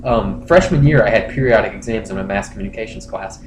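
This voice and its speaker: Guy on a comedy podcast reading posts out loud, dry crude asides, echoey room, bit of noise. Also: lowish voice, quick pace. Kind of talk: casual comic reading of internet posts. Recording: roomy echo, faint noise.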